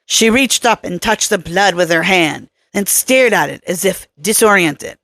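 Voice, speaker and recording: Woman reading a horror novel out loud, normal pitch, dry close recording. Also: animated, measured pace, no echo. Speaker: audiobook narrator. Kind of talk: scripted narration of horror fiction.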